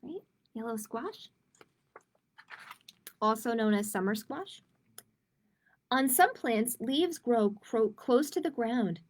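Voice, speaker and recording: Lady with a kinda high voice doing kids library storytime, expressive reading plus casual little asides. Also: slow, pauses often, slight background noise. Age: adult. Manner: expressive, addressing young children and parents. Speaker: children's library storytime host. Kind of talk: reading picture books aloud with informal asides to viewers. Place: live-streamed online storytime.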